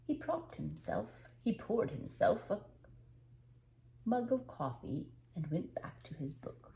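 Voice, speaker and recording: Older woman reading with lively, swooping intonation. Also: fairly low pitch, a little hiss or hum. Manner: unhurried, expressive storytelling with dramatic pauses. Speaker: storyteller reading aloud. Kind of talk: children's storybook read-aloud.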